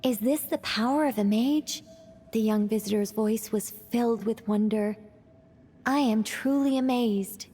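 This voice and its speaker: Woman acting a composed, high-voiced young storyteller woman. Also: unhurried, warm tone, a little hiss or hum, close to an everyday voice. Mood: intrigued. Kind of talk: scripted storytelling in a game cutscene.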